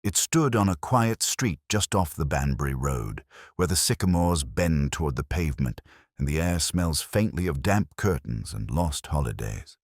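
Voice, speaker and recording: Really deep male narrator, detective story, measured and reflective, pitch moves around a lot, dry close sound. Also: animated, no room sound. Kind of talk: scripted first-person detective story narration.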